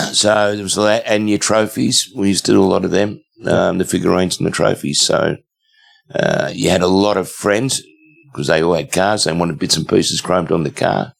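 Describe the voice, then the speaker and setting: A man in his seventies with an Australian accent, a guest telling stories in a relaxed, rambling way with many fillers. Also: deep voice, normal speed, vocal fry. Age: 70s. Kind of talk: casual storytelling interview.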